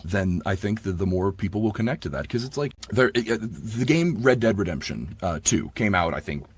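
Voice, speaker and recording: Husky, lower-pitched man talking shop. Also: lively intonation, a little fast. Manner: earnest, informal, peppered with "like" and "you know". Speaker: audiobook voice performer and producer. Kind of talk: casual interview conversation.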